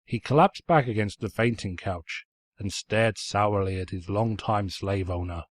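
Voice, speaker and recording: A man's voice: on the low side, measured pace. A man reading fan fiction aloud as scripted story narration, in a pompous, snide storyteller's manner.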